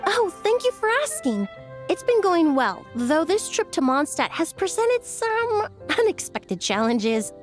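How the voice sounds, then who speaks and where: A woman's voice: very high-pitched, big pitch swings, a little slow, exaggerated voice. An actress playing a refined, courteous young woman in a video game, speaking with polite, precise formality. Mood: polite, reflective. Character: refined, courteous young female perfumer with discerning tastes. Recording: faint music behind the voice. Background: faint game music.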